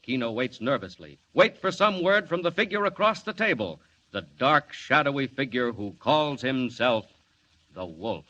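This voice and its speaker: An actor as the ominous narrator of a 1940s radio serial, portentous and theatrical. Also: male voice, mid-range voice, big pitch swings, moderate pace, pushed and bright. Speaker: announcer. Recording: muffled, faintly noisy vintage radio recording.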